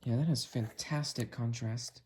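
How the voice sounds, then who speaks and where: A man narrates a hands-on demonstration in a casual, unhurried way, thinking aloud as he tests things. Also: medium pitch, fairly even intonation, a little mellow. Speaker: video creator demonstrating a weather radio.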